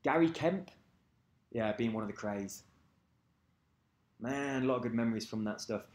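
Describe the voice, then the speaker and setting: A man's voice: medium pitch, moderate pace. A man rambling casually to camera, swearing freely and losing track of names. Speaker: vlogger. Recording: echoey room.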